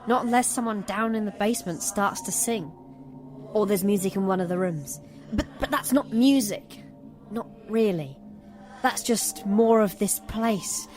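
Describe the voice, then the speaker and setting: A woman's voice: mid-range voice, a little slow, darkish tone, faint music behind, natural voice. A woman playing a sly, wheedling little boy who needles his sister in an audio drama. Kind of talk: audio fiction drama acting.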